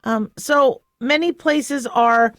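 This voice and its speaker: A woman's voice: sits high, slow pace, fairly bright tone, no echo, clean studio sound. A middle-aged American woman hosting a radio talk show, giving casual opinion in a conversational way, often searching for words. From southwestern United States.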